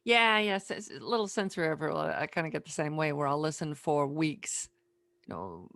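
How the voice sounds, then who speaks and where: A woman's voice: low-pitched, sing-song, brisk, vocal fry, a bit bright, no room sound. A middle-aged woman from the United States hosting a podcast in a casual, conversational interview style. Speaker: podcast host.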